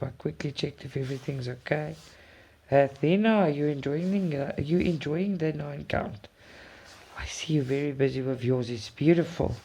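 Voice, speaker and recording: A woman's voice: rumbling low, creaky, dark tone, husky. A woman from South Africa whose first language is Afrikaans, chatting casually and effusively with viewers as a cross-stitch channel host. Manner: chatty, effusive, repeats words. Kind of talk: casual chat with live viewers.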